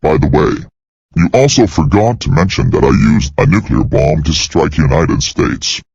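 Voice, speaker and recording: A man performs a gloating male villain monster, his voice sunk extremely low and full of gravelly fry. The tone is husky. The sound is dull and close, with no room around it. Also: lively intonation, normal speed, darkish tone, no room sound, full character voice.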